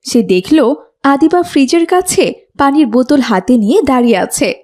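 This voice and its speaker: A woman's voice: sits high, expressive, normal speed, mellow. A woman reading scripted narration for a children's story as its narrator.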